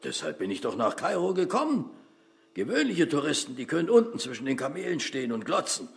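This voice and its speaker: A man's voice: a little high, wildly swooping pitch, a little fast, vocal fry, exaggerated voice. An actor playing a stubborn, proud old father in a radio play, dryly self-assured and obstinate. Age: older. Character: stubborn, unrepentant old father, dreamer with childlike pride.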